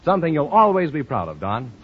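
A man's voice: on the high side, huge pitch swings, quick pace, muffled recording, slight background noise. A man announcing a 1950s radio show in a scripted style, heard on an old broadcast recording.

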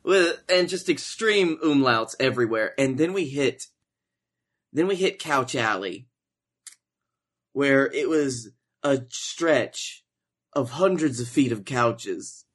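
A man with a mid-pitched voice co-hosts a casual podcast. He rambles through comic anecdotes with a wry, sweary delivery. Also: expressive, takes it slowly, a bit bright. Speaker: podcast co-host.

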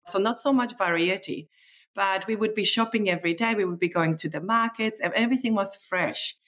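A woman's voice: mid-range voice, fairly quick, a bit bright. A woman from the former Czechoslovakia, a nutritionist guest, telling stories in a chatty, enthusiastic way with non-native phrasing. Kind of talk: casual conversational storytelling.

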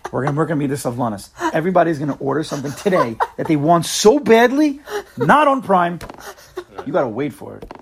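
A man leading a casual religious class among friends, animated and joking, often repeating his words. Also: fairly high pitch, brisk, gravelly, background noise. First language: not Hebrew. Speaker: class leader. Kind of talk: casual Torah class chat.

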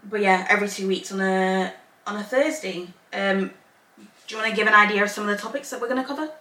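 Bright chatty podcast woman, talks in long run-ons, sounds a bit off-mic in an echoey room. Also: mid-range voice, fast talker, distant mic. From Zambia, raised in England. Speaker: podcast co-host. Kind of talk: casual chat. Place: home room, Northern Ireland.

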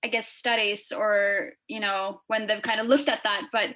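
A woman's voice: mid-range voice, level tone, moderate pace, pushed and bright, low-quality recording. A young woman from Norway, a guest speaking casually over a video call, hesitant with many fillers and restarts. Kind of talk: casual interview chat.

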